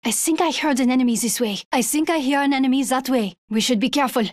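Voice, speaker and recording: A woman's voice: high-pitched, fast, no echo, clean studio sound, lightly acted. A woman playing a cautious soldier in a shooter video game. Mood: alert, cautious.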